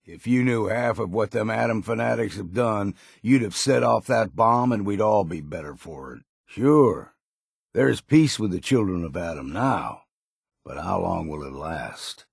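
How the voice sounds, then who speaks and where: An actor playing a gruff, wary old islander sailor in a video game, with a gravelly, husky voice and salty, folksy, blunt talk. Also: male voice, lowish voice, expressive, a little slow, no room sound, clean studio sound, heavily acted. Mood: bitter, blunt. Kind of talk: scripted video game voice lines.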